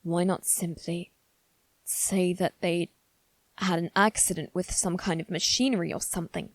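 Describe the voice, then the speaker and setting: A woman playing a proud, analytical young aristocratic boy detective in an ASMR roleplay, musing aloud in measured, formal phrases with deliberate pauses. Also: on the low side, little pitch movement, no room sound. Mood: analytical, skeptical. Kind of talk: ASMR roleplay, scripted dramatic monologue.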